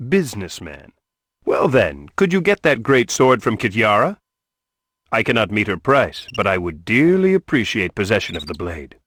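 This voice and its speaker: An actor playing a polite, earnest young adventurer who asks many questions, in a video game cutscene. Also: male voice, on the low side, wildly swooping pitch, moderate pace, fairly bright tone, no room sound, clean studio sound, exaggerated voice. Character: earnest young male adventurer seeking a cure for his curse.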